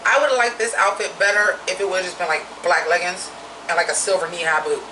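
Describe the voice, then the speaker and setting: A woman with a naturally deep voice giving a blunt, profane, opinionated drag review in a casual vlog. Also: fairly even intonation, quick pace, reverberant, recorded from a distance, background noise.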